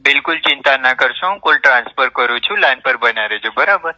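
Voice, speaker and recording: Very bright, polite male call handler. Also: mid-range voice, animated, quick pace. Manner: polite, formal, placating. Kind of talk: scripted customer-service phone talk. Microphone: phone line. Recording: telephone call recording.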